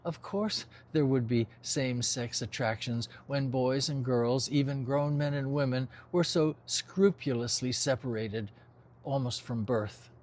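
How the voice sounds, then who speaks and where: An older man reads from his own novel at a mid-range pitch. His delivery is measured and reflective, in the literary manner of a memoir, with the odd informal aside. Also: a little mellow. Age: over 60.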